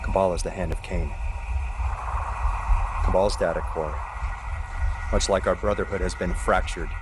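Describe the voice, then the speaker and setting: An actor playing a commanding, zealous and threatening militant general in a video game cutscene, with a stern, authoritative manner and clipped orders. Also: male voice, low-pitched. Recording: noisy game cutscene audio.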